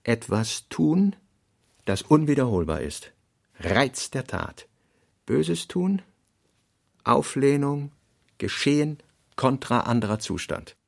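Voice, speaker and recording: Guy narrating a literary radio drama, creaky voice, very dry studio sound, measured delivery. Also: mid-range voice, big pitch swings, dry recording. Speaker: narrator, reader of literary text and notes.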